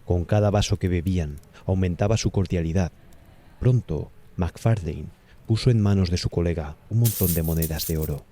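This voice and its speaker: A man's voice: low voice, normal speed, mellow. A man with a Castilian Spanish accent narrating a scripted horror story as an audiobook.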